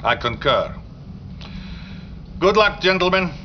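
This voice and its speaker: An actor playing a formal, imperious Soviet premier in a game cutscene, with a Russian accent and deliberate manner. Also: male voice, sits high, very animated, full character voice. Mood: cordial. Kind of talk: video game cutscene acting. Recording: dull lo-fi game audio, clear background noise.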